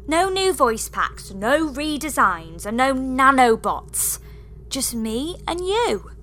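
A woman's voice: high voice, big pitch swings, slow, fairly bright tone, full character voice. A woman playing a lonely, doubtful space engineer in a comedy sci-fi audio drama, polite and plaintive. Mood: weary.

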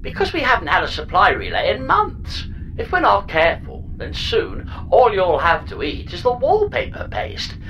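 A woman's voice: medium pitch, wildly swooping pitch, normal speed, a bit bright, a little hiss or hum, poor audio. A woman voicing a chirpy, scatterbrained space pod computer in a sci-fi audio comedy, speaking with whimsical, flippant, hammy relish. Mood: melodramatic.

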